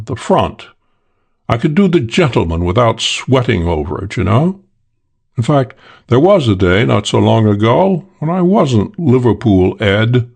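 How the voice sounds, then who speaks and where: A man's voice: medium pitch, gravelly, darkish tone, breathy edge, full character voice. A man voicing a suave, boastful English con man in an audiobook, talking in a cool, self-assured drawl. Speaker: character in an audiobook. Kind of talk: audiobook character voice.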